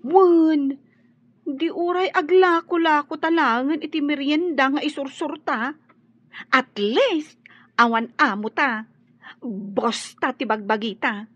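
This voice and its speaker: A woman's voice: high-pitched, slow, pauses often, a bit bright, dry recording. An actress with an Ilocano accent playing a young, plaintive and loyal servant woman in a radio drama. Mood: earnest, persuasive. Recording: dull, dry lo-fi studio recording.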